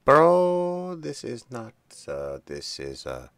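A man's voice: mid-range voice, wildly swooping pitch, slow, a bit bright, clean tone, no room sound, clean studio sound. A middle-aged man giving casual, unscripted reactions as a stream host, blunt, sweary and incredulous.

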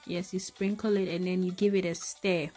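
A woman's voice: fairly low pitch, level tone, normal speed, warm tone, soft background music. A woman demonstrating a recipe in her home kitchen, chatty and addressing viewers as her people. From Ghana. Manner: chatty, talks to viewers as "my people", exclaims over the food. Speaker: cooking vlogger. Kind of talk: casual cooking demonstration.

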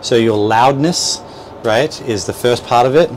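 A man's voice: medium pitch, a little slow. A man in his thirties giving an informal, instructional walkthrough, explaining things with hesitant, repeated words. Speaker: boat dealer presenter. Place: small boat cabin at a marina. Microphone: clip-on lapel mic. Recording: noisy boat cabin with steady background hum.

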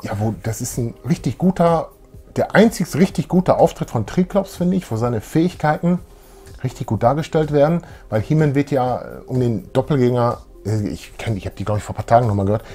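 A man in his thirties co-hosts a fan show in casual, colloquial speech. His voice is medium in pitch, and he talks with eager enthusiasm. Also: brisk, mellow, breathy edge, soft background music. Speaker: co-host of a retro fan show. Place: small indoor room full of collectibles.

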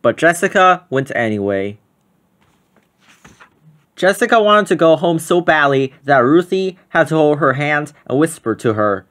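A storybook narrator reads a picture book aloud for children. The delivery is a scripted read-aloud in a medium-pitched voice. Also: animated, measured pace, a bit bright.

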